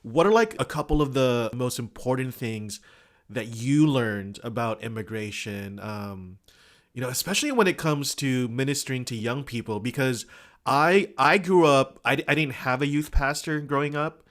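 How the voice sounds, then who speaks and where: A man from Indonesia hosting a podcast, talking in a halting, heartfelt way. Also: medium pitch, fairly bright tone. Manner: halting, many fillers and restarts, heartfelt. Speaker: podcast host. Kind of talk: podcast conversation.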